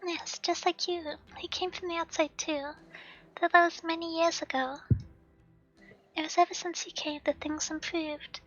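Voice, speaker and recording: A hoarse woman reading the lines of an earnest, gentle young village girl from a game. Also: very high voice, flat delivery, normal speed. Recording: slightly dull stream audio, faint game music.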